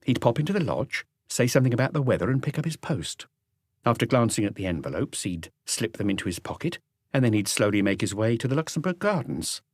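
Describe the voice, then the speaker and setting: A man's voice: medium pitch, sing-song, fairly quick, no echo. A man voicing a young, affable female concierge in an audiobook, polite, chatty and matter-of-fact. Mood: recollecting, helpful. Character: young, affable woman concierge, helpful and soft-spoken. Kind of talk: audiobook character dialogue.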